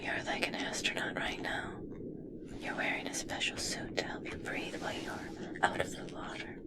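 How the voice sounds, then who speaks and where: A woman playing a gentle, obsessed scientist fascinated by merpeople in a whispered ASMR roleplay, speaking softly, slowly and soothingly. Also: very deep voice, completely flat delivery. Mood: fascinated, dreamy. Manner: soft, soothing, slow, coaxing.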